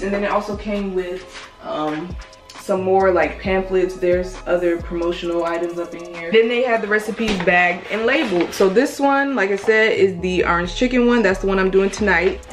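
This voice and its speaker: A young woman in her twenties talks straight to her viewers from a small kitchen, chatty and emphatic. Her mid-range voice bounces around the echoey room, with faint music underneath. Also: mid-range voice. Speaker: vlogger. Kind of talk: casual cook-with-me vlog.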